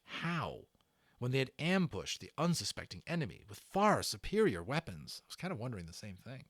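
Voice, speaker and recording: Animated male storyteller with a dry sound. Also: mid-range voice, brisk, no room sound. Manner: expressive, suspenseful delivery. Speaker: storyteller, audiobook narrator. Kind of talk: dramatic read-aloud with casual asides.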